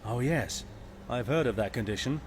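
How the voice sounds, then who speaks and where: A man's voice: medium pitch, lively intonation, moderate pace, slight background noise, natural voice. A man playing a sardonic, easygoing mercenary pilot in a space video game, with a wry, casual manner. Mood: casual, probing.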